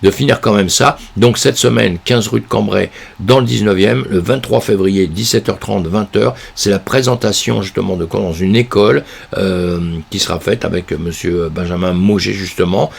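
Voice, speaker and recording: A man with a very low, gravelly voice, hoarse and congested from a cold. He chats loosely through a news roundup, full of fillers and restarts. His voice sounds husky and rough. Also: normal speed, hardly any pauses. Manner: rambling, with many fillers and restarts; chatty, addresses viewers as friends. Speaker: crypto news commentator. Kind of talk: casual commentary on a news roundup.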